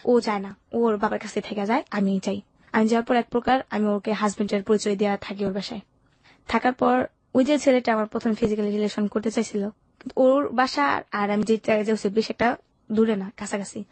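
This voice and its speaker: Young female interview guest, Bangladeshi accent, candid colloquial storytelling, quick pace, mid pitch, dry sound. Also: steady tone, darkish tone, no room sound. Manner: colloquial, recounts events with quoted dialogue. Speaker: interview guest telling her life story. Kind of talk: candid personal storytelling in conversation.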